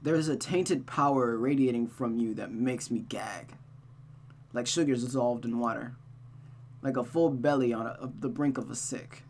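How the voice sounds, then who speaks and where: A reader voicing an anguished, brooding male lover from a visual novel in a slow, hushed, halting delivery, over a noisy recording. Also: mid-range voice, natural voice.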